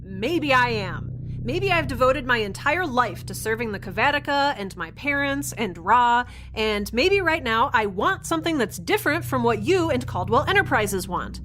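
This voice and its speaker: A woman's voice: fairly high pitch, sing-song, moderate pace, fairly bright tone, a little hiss or hum. A woman playing a defiant, wry young rebel on the run in a science fiction audio drama, chatty and given to sarcastic asides.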